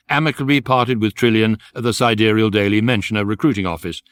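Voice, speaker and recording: Casting: male actor as deadpan narrator of a galactic guide, erudite, animated pitch, dry close sound, radio comedy. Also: no room sound, lightly acted. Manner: measured, precise, deadpan. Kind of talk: scripted comic radio drama narration.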